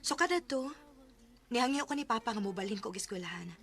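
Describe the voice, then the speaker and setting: High, bright, betrayed younger sister. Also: female voice, expressive, quick pace. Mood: sad, resigned. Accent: Cebuano. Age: young adult. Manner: emotional, melodramatic. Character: betrayed younger sister, hurt, bitter, accusing. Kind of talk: Bisaya radio drama acting.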